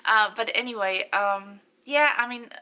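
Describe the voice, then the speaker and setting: A woman's voice: a little high, a little slow, sharp tone, smooth. A middle-aged woman from Poland with a Polish accent, chatting casually to camera and answering viewer questions with lots of fillers and light laughs. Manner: chatty, loose phrasing with many fillers. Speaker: vlogger.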